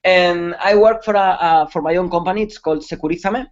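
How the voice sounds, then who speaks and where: A man's voice: high voice, brisk. Hesitant Spanish-accented man on a lo-fi webcast. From Spain. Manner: hesitant, many fillers and restarts, occasional laughs. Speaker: security conference speaker. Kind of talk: webcast presentation. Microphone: computer mic on a video call. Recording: dull lo-fi webcast audio.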